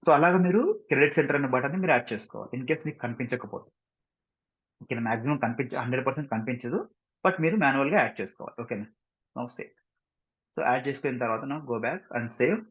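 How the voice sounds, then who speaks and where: An Indian-accented man talks quickly through a software tutorial in a slightly husky voice. He explains step by step in an informal way, slipping in English phrases and often checking that learners follow. The recording is dull and lo-fi. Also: medium pitch, lively intonation. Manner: repeatedly checks understanding with short questions. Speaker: software instructor. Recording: dull, muffled lo-fi microphone.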